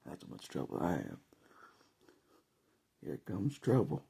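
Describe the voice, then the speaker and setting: An American man with a gravelly, husky voice, chatting casually and jokily in a rambling vlog monologue, often chuckling. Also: low-pitched, wildly swooping pitch, measured pace, mellow. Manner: chuckles often, jokey, conversational. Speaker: vlogger giving political opinion.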